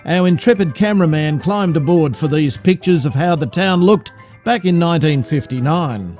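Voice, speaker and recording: A man's voice: on the high side, darkish tone. A man with an Australian accent reading scripted narration in the style of an old cinema newsreel. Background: music.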